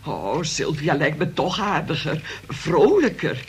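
A woman's voice: low voice, sing-song, measured pace. A woman playing a pious, fretful mother of a grown son in a Dutch radio play, plaintive and hesitant. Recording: noisy old radio play recording.

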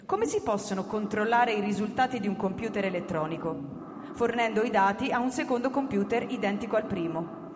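An actress playing a formal radio bulletin announcer, explaining in a measured, didactic, official way. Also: female voice, mid-range voice, noisy background, lightly acted. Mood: formal, explanatory. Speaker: radio newsreader in a drama. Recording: reverberant hall, music over the voice. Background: clear music.

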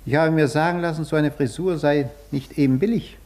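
A man acting a suave, sardonic gentleman interrogator in a German radio drama, polite on the surface while probing and mocking. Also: fairly high pitch, fairly quick, lightly acted. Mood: sly, probing.

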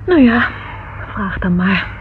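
A woman's voice: mid-range voice, big pitch swings, slow pace. An actress in a Dutch radio play playing an anxious, oversensitive teenage girl, timid and pleading. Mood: gentle, uneasy. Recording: dull old radio recording with noise.

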